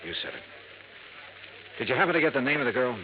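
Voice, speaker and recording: An American actor playing a cool, probing insurance investigator in a 1950s radio noir drama, clipped and matter-of-fact. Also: male voice, medium pitch, sing-song, fast talker, natural voice. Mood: casual, curt. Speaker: lead actor and narrator. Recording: muffled, noisy vintage radio recording.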